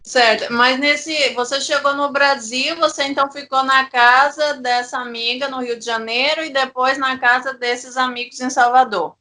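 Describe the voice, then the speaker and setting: Brazilian lady doing a casual interview, high voice, really bright sounding. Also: steady tone, moderate pace, few pauses.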